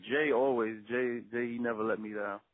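A man's voice: medium pitch, fairly even intonation, moderate pace, no echo, phone-quality sound. A twenty-year-old man, a rapper being interviewed, chatting casually in slangy speech and repeating phrases for emphasis.

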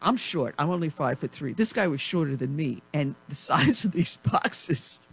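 A chatty woman hosts a talk radio show, rambling from one everyday story to the next and laughing often. Her voice is starting to go hoarse. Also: low voice, animated, normal speed. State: losing her voice. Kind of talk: casual talk radio chat.

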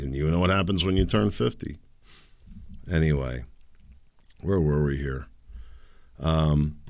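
A man's voice: extremely low pitch, no echo, studio quality. A man in his fifties hosting a solo podcast, rambling with sarcastic and self-deprecating asides. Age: 50s. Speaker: podcast host. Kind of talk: casual solo podcast monologue.

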